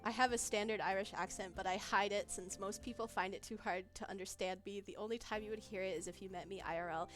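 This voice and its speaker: A young woman rambles through a casual livestream chat, giggling often and filling gaps with ums. Her voice sits at an ordinary middle pitch. Soft music plays faintly behind her. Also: fairly even intonation, fast, few pauses. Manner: giggly, rambling, many fillers. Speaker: streamer. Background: faint background music.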